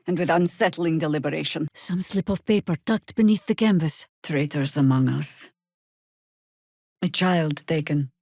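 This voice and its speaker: Battle-worn older druid for a fantasy game: lowish voice, dry studio sound, wry and measured wording. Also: female voice, a little mellow, dry recording. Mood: reflective. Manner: dry, wry, deliberate formal phrasing. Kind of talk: video game character acting.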